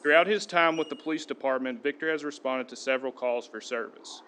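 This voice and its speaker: A man, a police sergeant, giving a steady, earnest prepared speech at a podium in a council chamber. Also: medium pitch, a little fast, slight background noise. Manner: steady, earnest reading of a tribute.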